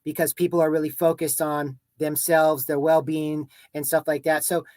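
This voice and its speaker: A man with a Texan accent hosting a podcast interview, upbeat and a little halting, repeating words as he goes. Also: a little high, little pitch movement, darkish tone, dry recording, clean studio sound. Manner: upbeat, stumbles and repeats words, says "obviously" and "mm-hmm". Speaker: podcast host.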